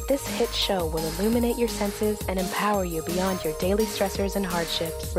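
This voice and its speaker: A woman announcer reading a scripted promotional spot for radio. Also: medium pitch. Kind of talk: scripted radio advert narration. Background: music.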